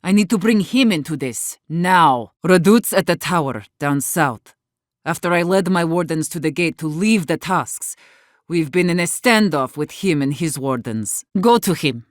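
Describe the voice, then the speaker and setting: Low-voiced, blunt, embittered warden leader. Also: female voice, expressive, unhurried, dry recording, studio quality. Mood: guarded, weary. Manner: blunt, guarded, sharp-tongued, swearing. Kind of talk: video game character dialogue.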